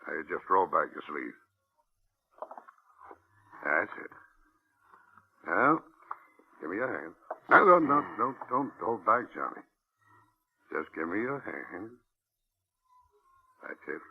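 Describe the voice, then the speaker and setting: An actor in a 1950s radio drama playing a seedy back-alley doctor, insistent and wheedling, with a gravelly voice. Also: male voice, low voice, very animated, takes it slowly, long pauses, a little hiss or hum, exaggerated voice. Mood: soothing, coaxing. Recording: dull, band-limited 1950s radio recording.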